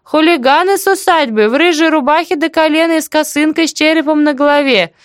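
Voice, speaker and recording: A woman voicing a hard-of-hearing, good-natured, nosy older village neighbor who chatters loudly in an audiobook story. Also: sits high, fast talker, dry recording, studio quality, pitched above the performer's own voice, natural voice. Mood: eager, loud. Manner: loud, exclaiming, matchmaking chatter.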